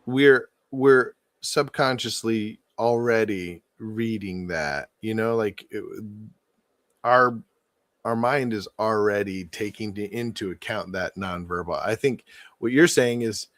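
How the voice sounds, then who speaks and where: A man's voice: on the low side, slow pace, dry recording. A man in his forties co-hosting a casual conversation, rambling and thinking aloud with frequent restarts. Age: 40s. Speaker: podcast co-host.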